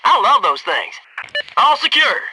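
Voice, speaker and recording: An American actor playing a brash, upbeat, teasing soldier in a military video game, with punchy, cocky, informal delivery. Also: male voice, very high-pitched, sing-song, unhurried, pushed and bright, distant mic, a little hiss or hum, heavily acted, rough recording. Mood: excited, cheerful.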